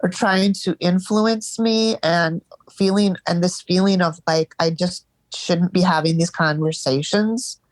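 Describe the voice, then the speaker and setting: Woman on a therapy call, kinda stuffy nose, stops and restarts a lot, lowish voice, dry close sound. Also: steady tone, no room sound. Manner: halting, searching for words. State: stuffed up from crying. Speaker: therapy client. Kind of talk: live therapy session.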